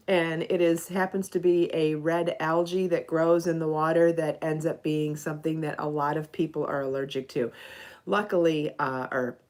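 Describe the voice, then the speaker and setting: A woman's voice: sits low, a little mellow. A middle-aged woman, slightly stuffy from allergies, chatting casually on a live podcast and greeting viewers by name. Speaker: knitting and crochet designer hosting a live podcast.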